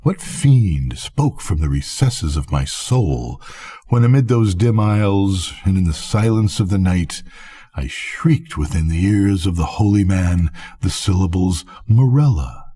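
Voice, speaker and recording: An older man reading a gothic literary tale aloud as a storyteller, solemn and theatrical, with a husky voice. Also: low voice, lively intonation, warm tone. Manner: solemn, theatrical, measured delivery. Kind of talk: dramatic literary audiobook narration.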